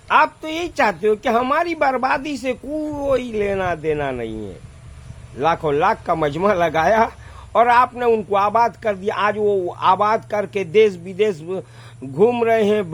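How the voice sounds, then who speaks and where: An Indian-accented man delivering an impassioned, unscripted monologue, scolding and sarcastic, with colloquial phrasing, repetition and rhetorical questions. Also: sits high. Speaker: vlogger giving political commentary.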